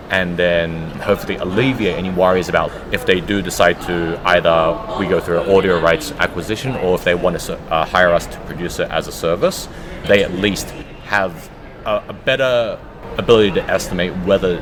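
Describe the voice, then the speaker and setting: A man's voice: low-pitched, noisy background. A man speaking conversationally as a guest producer in an interview, recorded live at a convention. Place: live convention, busy surroundings.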